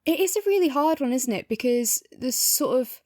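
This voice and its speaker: A woman's voice: high-pitched, expressive, normal speed, darkish tone, no room sound. A woman chatting casually and thoughtfully as a podcast co-host in a review discussion.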